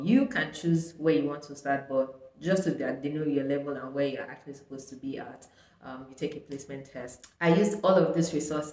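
A woman's voice: low-pitched, a little mellow. Chatty young woman, roomy echo. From Nigeria. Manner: chatty, sprinkled with "like" and "um". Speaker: vlogger. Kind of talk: casual talk to camera. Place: small bright room indoors. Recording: echoey room.

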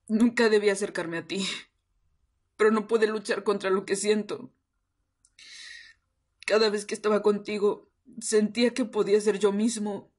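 Woman voicing a husky, brooding young male vampire. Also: mid-range voice, steady tone, normal speed, long pauses, a little mellow, lightly acted. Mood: intense, devoted. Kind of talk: acted romantic vampire roleplay audio drama.

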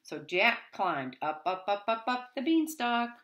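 A woman's voice: a little high, very animated, measured pace, fairly bright tone, faint music behind. A middle-aged woman reading a picture book aloud to young children, expressively and with dramatic emphasis. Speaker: storybook reader for children. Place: small wood-panelled room at home, indoors.

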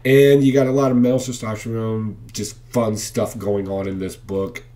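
A man in his thirties talks straight into a webcam from his living room. His voice sits a little low, and he rambles with fillers while giving enthusiastic, blunt opinions. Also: little pitch movement, moderate pace, mellow. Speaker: comic book reviewer. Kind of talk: casual comic review vlog. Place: living room at home, indoors. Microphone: webcam facing him at close range.

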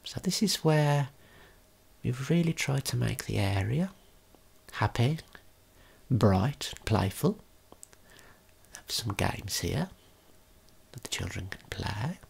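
Slow, breathy whispering ASMR guide. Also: medium pitch, animated. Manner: gentle, unhurried, explanatory. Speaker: tour guide. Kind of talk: ASMR whispered scripted tour narration.

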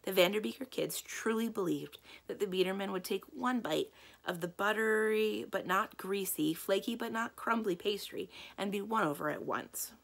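A woman's voice: mid-range voice, normal speed, creaky. A young adult American woman narrating a children's book as a read-aloud. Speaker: library read-aloud reader.

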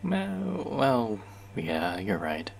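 A man's voice: medium pitch, sing-song, very slow, vocal fry, heavily acted. A man voicing a friendly, teasing young male barista in an audio roleplay, chatty and playful toward a regular customer.

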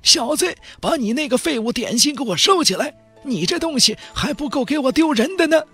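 A man voicing a boastful, crotchety old Taoist cultivator who teases and brags in an unhurried, raspy way. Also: very high-pitched, expressive, bright, forceful tone, faint music behind, pitched above the performer's own voice, exaggerated voice. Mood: scolding. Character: cocky old male Taoist cultivator master, teasing and boastful.

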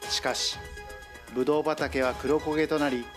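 A man delivers a scripted news report in a reporter's narrating style. His voice sits at an ordinary pitch, with music clearly playing behind it. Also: steady tone, moderate pace. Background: background music.

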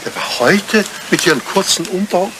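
An older man acting a devoted, dignified butler in a radio play, speaking with formal deference and quiet sorrow. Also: sits high, expressive, normal speed. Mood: deferential. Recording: noisy old radio play recording.

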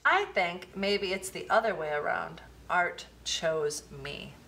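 A woman reading a children's picture book aloud, with expressive, deliberate phrasing. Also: lowish voice, gravelly, slight background noise. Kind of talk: storybook read-aloud for children.

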